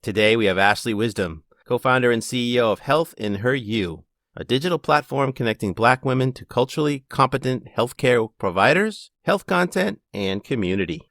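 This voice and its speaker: A man's voice: mid-range voice, expressive, normal speed, hardly any pauses, a bit bright, no room sound, clean studio sound. A man hosting a podcast interview, asking questions in an upbeat, enthusiastic way. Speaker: podcast host.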